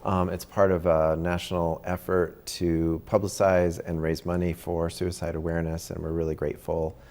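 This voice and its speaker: Campus show host, man, low dark voice, friendly and halting. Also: little pitch movement. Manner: friendly, halting with many ums. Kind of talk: casual interview chat.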